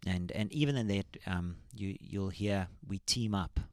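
Church worship leader, man, very low speaking voice, earnest and reflective, dry close recording. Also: unhurried, clear, resonant tone, dry recording. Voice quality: high tenor singing voice. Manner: earnest, thoughtful, many ums. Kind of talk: casual church podcast chat.

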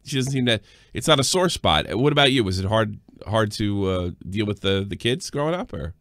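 A man hosting a casual call-in show, asking lively questions with many restarts and often talking over the caller. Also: lowish voice, brisk, no room sound, studio quality. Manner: animated, stumbling restarts, talks over the caller. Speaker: host.

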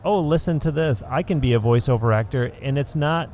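A man's voice: medium pitch, brisk, darkish tone, a little hiss or hum. A man hosting a podcast interview, talkative and explanatory in long run-on sentences, recorded on location into a handheld recorder. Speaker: podcast host. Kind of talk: interview conversation. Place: on location, indoors. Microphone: studio mic into handheld recorder.

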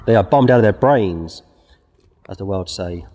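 A man preaching outdoors with a dark, mellow voice. He reads scripture and drives his warnings home with emphasis. His words trail off into a long, ringing echo. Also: low-pitched, normal speed, lo-fi sound. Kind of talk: outdoor sermon. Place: outdoors before scenery.

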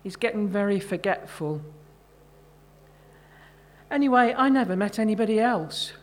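A woman's voice: medium pitch, animated, normal speed, lightly acted. A woman voicing an elderly, long-married mother, proud and plain-spoken, in a short story reading. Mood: conspiratorial.

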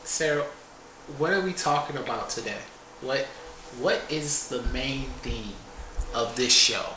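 A man's voice: medium pitch, measured pace, echoey room, background noise. A man co-hosting a podcast, chatting casually, teasing the guest and cheering him on. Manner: teasing, affirming backchannels. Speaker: podcast co-host.

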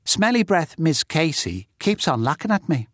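An actor reading letters as a blunt, chatty young schoolboy with a simple, childlike way of talking. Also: male voice, fairly high pitch, very animated, moderate pace, fairly bright tone, dry recording, studio quality. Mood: chatty, matter-of-fact. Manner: childlike, simple run-on telling. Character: young schoolboy writing blunt, chatty notes to his friend.

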